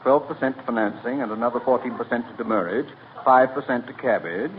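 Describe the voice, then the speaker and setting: A man's voice: medium pitch, a little fast, lightly acted. An actor playing an officious, slick store salesman with formal, fussy patter, in 1940s radio comedy style. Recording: tinny, noisy 1940 radio broadcast.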